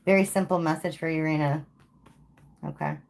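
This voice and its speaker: A woman's voice: sits low, steady tone, normal speed, vocal fry, a little mellow. A woman giving a live tarot reading to viewers, casual and chatty, tired and saying she is losing her voice. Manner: casual, repeats "okay?" and "like". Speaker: tarot reader.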